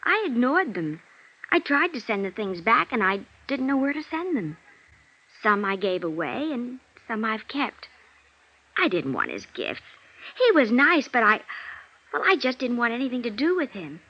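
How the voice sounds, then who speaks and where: Flustered young stage actress, old radio drama, husky, dull vintage recording. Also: female voice, a little high, big pitch swings, moderate pace. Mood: flustered, protesting. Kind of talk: 1950s radio drama acting.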